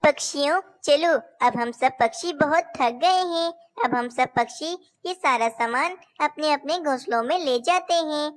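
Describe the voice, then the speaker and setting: A woman's voice: sits high, unhurried, few pauses. A woman voicing young female cartoon birds, caring and a little bossy, in a childlike, declamatory way. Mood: concerned. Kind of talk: Hindi animated children's story dialogue.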